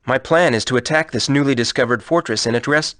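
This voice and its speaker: A man's voice: mid-range voice, fast talker, a bit bright, no echo, natural voice. A man playing a confident military strategist in a fantasy game, recorded during studio takes. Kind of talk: scripted game dialogue, studio outtakes.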